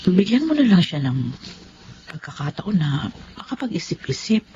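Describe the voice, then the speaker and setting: A woman's voice: lowish voice, big pitch swings, normal speed, noisy background. An actress playing a middle-aged, long-suffering mother who implores with strong emotion in a Filipino radio drama. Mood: soothing. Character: pleading, long-suffering mother, protective of her husband. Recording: dull lo-fi with music behind.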